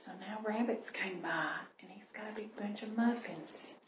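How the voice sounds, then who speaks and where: A woman reading a children's picture book aloud and pausing to explain with tag questions. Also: medium pitch. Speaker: library storyteller. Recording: roomy echo, clear background noise.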